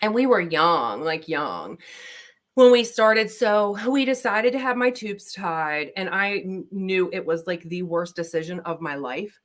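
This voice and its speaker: Brief: thirties woman, Baltimore accent, lower voice, rambling livestream chat through a laptop mic. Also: lively intonation, unhurried, fairly bright tone. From Maryland, USA. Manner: chatty, rambling with fillers. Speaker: beauty vlogger. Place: home, at her desk with laptop. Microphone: laptop built-in mic.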